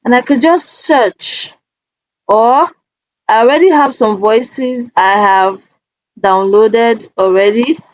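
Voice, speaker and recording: Woman, tutorial host, slow pace, halting step-by-step manner, casual, dry sound. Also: fairly high pitch, no echo, low-quality recording. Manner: halting, step-by-step, often checking in with "okay". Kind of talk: casual screen-recorded software walkthrough.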